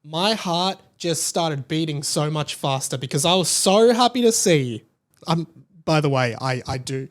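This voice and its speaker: A man's voice: fairly high pitch, lively intonation, a little slow. A man hosting a podcast, talking casually and emphatically in an informal, gushing fan style. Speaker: podcast host. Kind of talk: casual opinionated podcast monologue about pop culture.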